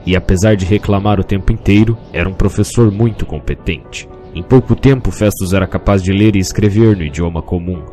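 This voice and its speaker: Low-voiced Brazilian male storyteller. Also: warm tone. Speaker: narrator. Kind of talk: scripted fantasy story narration.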